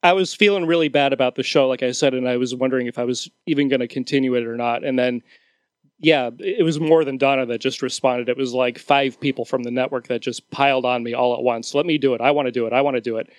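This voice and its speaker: A man's voice: medium pitch, fairly even intonation, fast talker, no echo. A man hosting a podcast, telling a story in an earnest, casual way. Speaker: podcast host.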